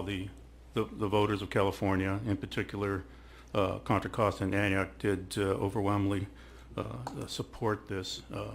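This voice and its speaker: A man speaking as a council member at a public meeting, halting and repeating himself as he searches for words. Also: lowish voice, a bit bright, slight background noise. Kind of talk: deliberative public meeting remarks.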